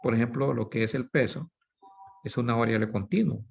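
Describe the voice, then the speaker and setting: A man's voice: medium pitch, a little fast, vocal fry, slightly rough. Man teaching, checking in often. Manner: asks "¿sí?" and "¿verdad?" constantly; self-correcting, explains with everyday examples. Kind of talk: recorded statistics lecture. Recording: slightly dull lo-fi recording.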